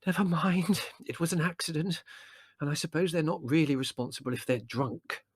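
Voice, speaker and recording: Man putting on a high-pitched, fussing soothing mother. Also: moderate pace, breathy edge, no room sound, studio quality. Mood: plaintive, gentle. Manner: gentle, mildly scolding. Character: soothing, vague, fussing middle-aged mother.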